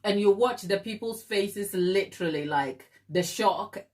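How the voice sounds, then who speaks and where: A woman's voice: mid-range voice, a little slow, heavy background noise. A woman with a Ghanaian accent hosting a cake decorating livestream, chatting casually with her viewers and calling them "my people". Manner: chatty, addresses viewers as "my people", mixes in Twi.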